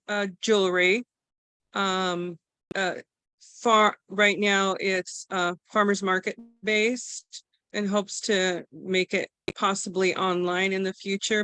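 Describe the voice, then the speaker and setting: A woman introduces herself slowly on a video call, hesitant and full of fillers. Her voice is smooth and clear. The sound is dry and close. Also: mid-range voice, pushed and bright, dry recording. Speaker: workshop participant.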